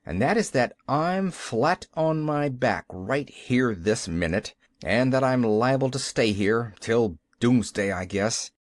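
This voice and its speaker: A man's voice: medium pitch, lively intonation, takes it slowly, few pauses, dry recording, studio quality. A man voicing a bitter, grumpy bedridden man in an audiobook. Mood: grim. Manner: grim and curt.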